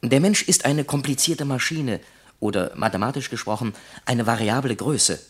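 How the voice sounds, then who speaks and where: An actor playing a detached, lecturing factory psychologist in a radio play, analytical, pedantic and wryly sceptical. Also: male voice, mid-range voice, normal speed, slightly rough, natural voice. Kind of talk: German radio play acting.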